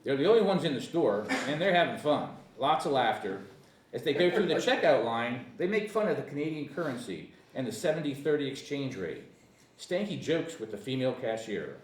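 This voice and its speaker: A man narrates stage directions at a table read of a screenplay. His mid-pitched voice moves up and down a lot, picked up at some distance in a roomy space. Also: very animated, a little fast. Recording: echoey room, distant mic, faint noise.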